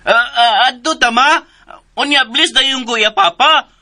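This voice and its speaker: An actor in a radio drama playing a slow-minded, childlike, eager young man who stammers and labors over his words. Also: extremely high pitch, pushed and bright, full character voice. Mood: pleading, confused. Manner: halting, repeating syllables.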